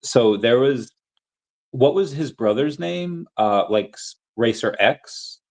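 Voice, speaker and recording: A man's voice: medium pitch, lively intonation, slow. A man hosting a podcast in casual chat, telling long, rambling stories in a loose, conversational way. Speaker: podcast host.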